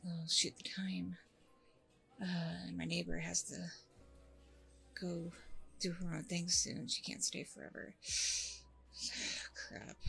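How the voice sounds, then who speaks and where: Husky, chatty single mom played by a woman. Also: on the low side, fairly bright tone, full character voice. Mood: apologetic, rambling. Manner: casual, rambling, informal slangy talk. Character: chatty, warm, plus-size single working mom on a date. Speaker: roleplay performer. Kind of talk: ASMR roleplay, casual one-sided date chat.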